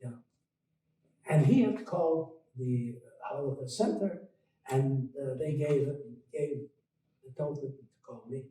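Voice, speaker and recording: Older man with a very dark, mellow voice. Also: mid-range voice, echoey room, far from the mic, low-quality recording. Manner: halting, many restarts, gentle storytelling. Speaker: guest cantor. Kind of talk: casual reminiscing conversation. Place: room on an online call.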